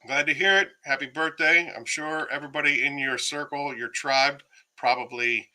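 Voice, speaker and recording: A man chats on a casual livestream, rambling through fillers and shouting out viewers. His voice is starting to go hoarse. The tone is strongly bright and pressed. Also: a little high, steady tone, moderate pace, low-quality recording. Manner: rambling, many fillers, greets viewers by name. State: losing his voice. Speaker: livestream host. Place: home, by himself.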